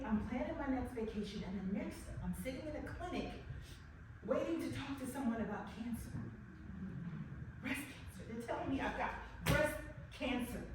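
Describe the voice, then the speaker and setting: Woman playing an anxious, prayerful cancer patient. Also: high-pitched. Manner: talks to herself, questioning and praying. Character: anxious devout woman with breast cancer, self-questioning. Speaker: woman awaiting a cancer consult. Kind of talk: inner-monologue acting. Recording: very echoey, distant, noisy.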